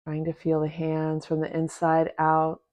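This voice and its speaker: Female meditation teacher, very flat, unvarying delivery, gentle instruction with long pauses, slightly muffled recording. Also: sits low. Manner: gentle, unhurried, with long pauses between short phrases. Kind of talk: guided meditation, soft instruction.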